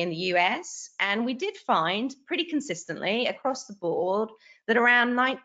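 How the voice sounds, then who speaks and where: A woman's voice: mid-range voice, fairly bright tone. A woman in her forties, a guest author on a video call interview, talking earnestly and animatedly, often restarting her phrases. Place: bright home room. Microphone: video call webcam. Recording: dull lo-fi video call audio.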